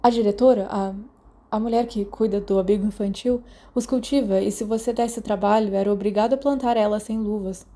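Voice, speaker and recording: A Brazilian woman voicing an observant, quietly inventive schoolboy with a matter-of-fact manner in a storybook. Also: medium pitch, fairly even intonation, brisk, natural voice. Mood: animated.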